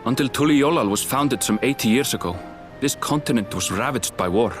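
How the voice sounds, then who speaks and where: An actor playing a composed male guide who explains rivals and history in a measured, slightly dry way in a video game cutscene. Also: mid-range voice, lively intonation, lightly acted. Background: game score music.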